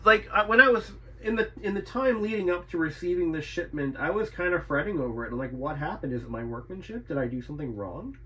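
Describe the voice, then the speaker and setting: A man's voice: a little high, animated, fast talker, hardly any pauses, a bit bright, husky, poor audio. A man chatting casually and thinking aloud as he works through a repair, often asking himself questions. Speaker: radio repairer presenting own video. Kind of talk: casual chat while doing a repair.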